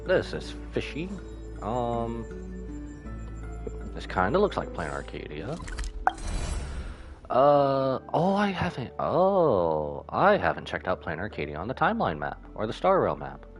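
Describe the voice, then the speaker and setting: A man giving casual live commentary as a game streamer, rambling and animated, with jokey asides. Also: medium pitch, a little slow, long pauses, soft background music.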